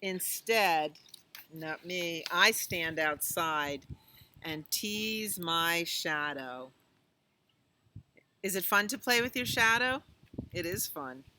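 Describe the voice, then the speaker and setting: A woman's voice: fairly low pitch, animated, slow pace, pauses often, pushed and bright, a little hiss or hum. A woman reading a picture book aloud to children, with chatty asides, exclamations and questions to her listeners. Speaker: children's storytime reader at a library.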